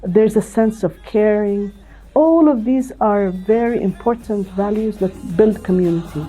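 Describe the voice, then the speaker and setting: Older woman telling her story, mellow tone, keeps restarting and repeating herself, faint music behind. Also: mid-range voice, unhurried, husky, low-quality recording. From Ethiopia. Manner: reflective, many restarts and repeated words. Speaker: CEO and managing director, interview guest. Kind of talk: interview, personal story.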